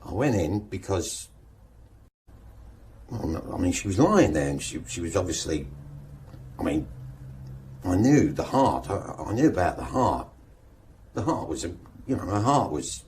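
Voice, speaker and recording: An actor playing a nervous, evasive suspect in a radio drama police interview, halting and stumbling over his words. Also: deep voice, very animated, darkish tone, a little hiss or hum. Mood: flustered, pleading. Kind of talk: naturalistic radio drama acting.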